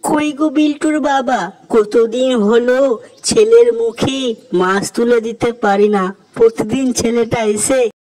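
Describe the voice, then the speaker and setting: A woman's voice: a little high, slow, mellow, poor audio. A woman voicing a nagging, distressed village mother in a cartoon, scolding and melodramatic. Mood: complaining. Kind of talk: cartoon dialogue.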